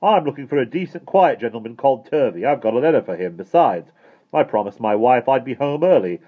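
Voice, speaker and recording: A man's voice: medium pitch, lively intonation, fairly quick, narrow, tinny audio, pitched above the performer's own voice, close to an everyday voice. A man voicing a bewildered, indignant postman in a children's storybook, blustering and exclaiming. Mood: baffled, indignant.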